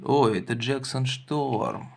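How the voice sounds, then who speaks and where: A man's voice: mid-range voice, slow pace, vocal fry. A man in casual, playful chat with a child, encouraging and inquisitive, using simple phrases. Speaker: adult playing with and questioning a child in a toy car show. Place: indoors at a wooden table. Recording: noisy home recording with clicking toys.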